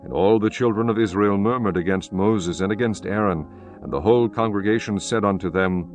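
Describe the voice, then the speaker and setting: Male narrator for scripture: low-pitched, solemn, measured delivery over a soft music bed.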